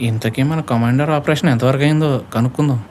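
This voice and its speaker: A man's voice: mid-range voice, fast, loud music behind the voice, lightly acted. A man playing a young, cheeky college sidekick who eggs his friend on in a comedy audio drama. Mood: egging on.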